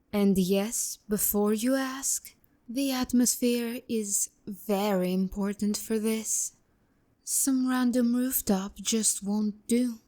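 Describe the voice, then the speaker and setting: A woman's voice: a little high, mellow. A woman playing a haughty, seductive demon courtesan in a romantic ASMR roleplay, speaking to the listener with condescending, teasing bite. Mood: amused, confident. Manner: condescending, teasing, biting insults.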